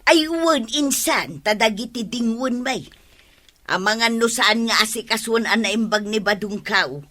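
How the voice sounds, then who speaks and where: A woman's voice: on the high side, a little slow, sharp tone. A woman playing a tight-fisted village wife and grandmother in an Ilocano radio comedy. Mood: fretful. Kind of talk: comedic radio drama acting.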